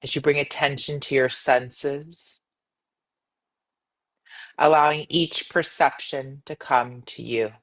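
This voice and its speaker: Low-key woman guiding a meditation. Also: steady tone, poor audio. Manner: gentle, soothing, measured with long pauses. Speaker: yoga nidra meditation guide. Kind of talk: guided meditation.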